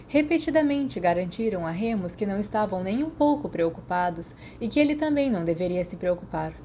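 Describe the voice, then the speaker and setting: A woman's voice: lowish voice, a little mellow, a little hiss or hum. A Brazilian woman reading a story aloud as a scripted audiobook narration. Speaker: podfic narrator.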